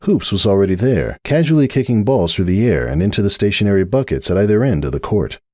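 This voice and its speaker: A man's voice: fairly low pitch, expressive, quick pace, mellow, no room sound, studio quality. A man giving a dramatic scripted reading of a story as its narrator.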